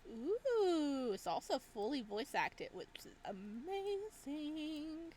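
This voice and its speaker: A woman hosting a gaming video, giving casual, playful and expressive let's play commentary as she reacts aloud. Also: high-pitched, slow, slight background noise.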